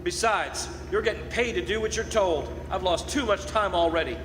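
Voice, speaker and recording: A man acts an oil crew boss in a live radio drama, practical and short on patience. The voice is notably high for a man, with bossy, plain-spoken delivery. It echoes through a reverberant hall. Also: moderate pace, background noise, close to an everyday voice. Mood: impatient, bossy. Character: impatient, practical male oil drilling boss. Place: performing arts center stage.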